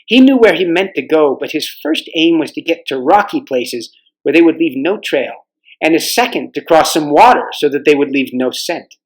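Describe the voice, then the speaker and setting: A man reading aloud as a volunteer storyteller, with a measured storybook narration style for families. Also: on the high side, animated, low-quality recording.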